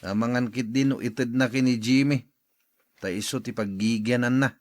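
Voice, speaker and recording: A man playing a greedy, calculating eldest son in a radio drama, with an expressive delivery. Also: medium pitch, normal speed, no room sound, lightly acted. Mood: discontented.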